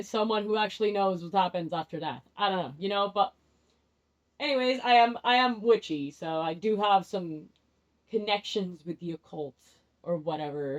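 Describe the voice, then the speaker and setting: A young woman whose first language is Spanish, doing a rambling, candid tarot reading, often restarting her sentences and laughing at herself. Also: medium pitch. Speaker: tarot vlogger. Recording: dull lo-fi sound.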